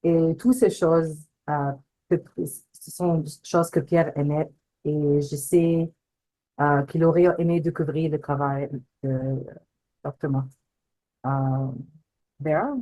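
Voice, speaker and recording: A woman, not a native speaker of the language, introducing a prize over a video call, halting and searching for words. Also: low-pitched, level tone, warm tone, poor audio. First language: not French. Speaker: prize committee co-chair. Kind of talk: webinar introduction.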